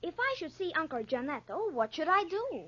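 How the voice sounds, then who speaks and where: A woman's voice: very high voice, very animated, brisk, pushed and bright, heavily acted. A woman voicing a cheeky, calculating young boy in a 1940s radio drama. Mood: dutiful. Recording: muffled, dated 1940s radio recording.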